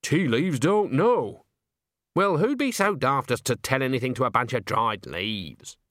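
A man's voice: medium pitch, animated, a little slow, fairly bright tone, no echo, studio quality. A man voicing a cheerful, sly old village witch and mother, chatty and folksy, in a storybook reading.

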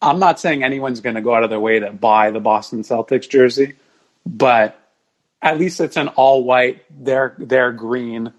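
A man's voice: medium pitch, a little slow, poor audio. A man as a podcast guest, mildly explaining his opinions in casual sports discussion.

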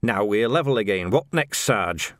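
A man's voice: fairly low pitch, expressive, moderate pace, a bit bright, dry recording, studio quality. Man playing a cocky, needling police constable. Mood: challenging. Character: cocky, challenging male police constable, skilled fighter.

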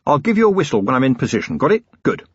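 A man voicing a weary, sardonic older police commander with a dry, gruff, commanding manner in an audiobook story. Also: medium pitch, very animated, rapid-fire, no echo, highs cut off. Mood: gruff, impatient. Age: middle-aged.

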